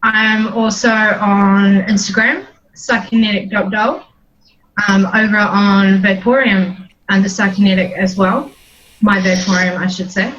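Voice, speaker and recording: A New Zealand woman hosts a laid-back livestream, rambling along with frequent ums and friendly asides. Her voice sits at an ordinary middle pitch, and the stream audio sounds dull and lo-fi. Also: level tone, measured pace, fairly bright tone, a little hiss or hum. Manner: chatty, rambling with many ums. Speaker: host. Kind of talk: casual livestream chat.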